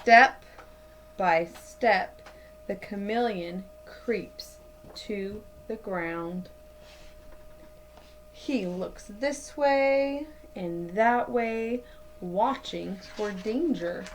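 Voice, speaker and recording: A woman in her thirties reading a picture book aloud to children like a teacher, with deliberate, dramatic emphasis. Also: mid-range voice, a little hiss or hum, low-quality recording. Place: small indoor room at home.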